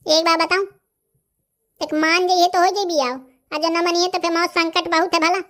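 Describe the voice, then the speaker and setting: A man voicing a bossy, sly village holy man in a comedy cartoon, with a Bundelkhandi accent and artificially raised pitch. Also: level tone, normal speed, bright, forceful tone. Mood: scheming, advising. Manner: pompous, instructing, brusque. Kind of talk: rustic comedy cartoon dialogue.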